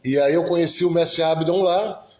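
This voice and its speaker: A man's voice: fairly high pitch, moderate pace, a little mellow. A man from Brazil hosting an event, giving an informal but effusive introduction to guests. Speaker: host of the venue. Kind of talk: informal public introduction speech. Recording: echoey room.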